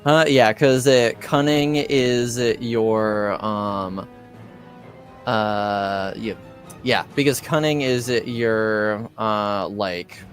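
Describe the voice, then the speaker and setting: A man running a tabletop roleplaying game casually as game master, halting and often searching for words. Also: lowish voice, faint music behind.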